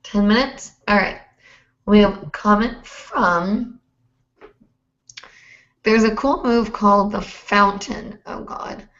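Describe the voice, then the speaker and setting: A young woman hosting a hoop dance livestream, hoarse and chatty, rambling with many fillers. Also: medium pitch, takes it slowly, pauses often, fairly bright tone. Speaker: host. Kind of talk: casual livestream chat. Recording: roomy, dull lo-fi stream audio.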